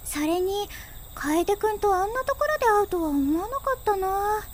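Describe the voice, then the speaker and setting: A woman's voice: very high voice, expressive, moderate pace. A woman playing a pretty, self-absorbed teenage schoolgirl in a Japanese audio drama, speaking in a coy, teasing, sweetly girlish way. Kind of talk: Japanese anime-style audio drama acting. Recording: background music under the voice.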